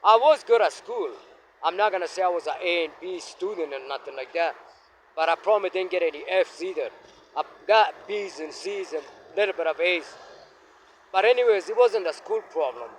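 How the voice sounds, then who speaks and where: A man in his fifties from Afghanistan, telling his life story to his phone camera while walking in an outdoor park, speaking in a halting, reflective way with many fillers. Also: sits high, slight background noise, rough recording. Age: 50s. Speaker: vlogger. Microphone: phone held facing himself.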